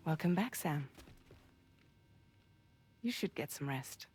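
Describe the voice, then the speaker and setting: An actress playing a calm, gentle woman giving measured, hushed reassurance in a video game drama. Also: low-pitched, slight background noise. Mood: welcoming. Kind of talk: dramatic game cutscene acting. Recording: music behind.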